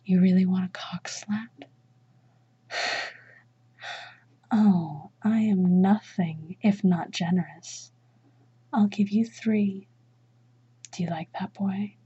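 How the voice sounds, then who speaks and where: A woman performing a dominant mistress roleplay in slow, teasing whispers, with a breathy voice and a commanding manner. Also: mid-range voice, warm tone, muffled recording. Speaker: erotic audio roleplay performer.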